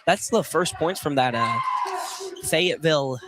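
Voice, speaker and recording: A man giving conversational live basketball analysis as color commentator, with halting phrasing. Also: fairly high pitch, animated, very noisy. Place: indoor college field house, courtside. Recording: live gym broadcast with crowd. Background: game crowd.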